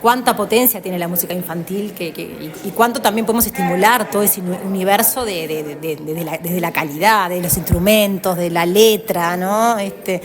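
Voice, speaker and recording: A woman with a Uruguayan accent interviewing a guest in a relaxed chat, affirming often and tagging her phrases with questions. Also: lowish voice, normal speed, few pauses, a crowd in the background. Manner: warmly affirming, ends phrases with tag questions. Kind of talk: casual conversational interview.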